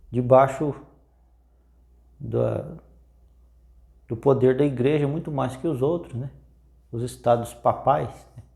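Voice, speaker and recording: A man's voice: mid-range voice, creaky, mellow. A man with a Brazilian accent presenting history in informal, unscripted storytelling, conversational and often checking in with tag questions.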